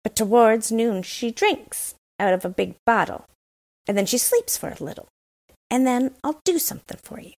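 A woman's voice: on the high side, expressive, moderate pace, voice pushed up. A woman reading a storybook, voicing a fierce, blunt young robber girl who talks in a bossy way.

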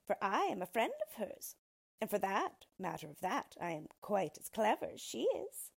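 Storybook dwarf , female reader: small malicious male, boastful and wheedling, husky, swooping delivery. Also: medium pitch, very animated, moderate pace, full character voice. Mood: sly, wheedling. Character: malicious, boastful little male dwarf monster of fairy tale.

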